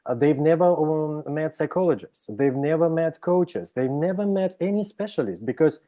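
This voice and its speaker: A man from Russia with a Russian accent, speaking as a psychologist guest in an interview, explaining with many pauses, restarts and "right?" checks. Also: on the high side, lively intonation, mellow. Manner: hesitant, frequent "right?" tags and restarts. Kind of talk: interview conversation.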